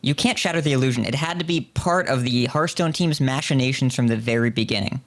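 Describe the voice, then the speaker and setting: A man with a creaky, mid-pitched voice hosts a podcast. He talks casually, with dry and playful mock-formality. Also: quick pace, fairly bright tone. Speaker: podcast host.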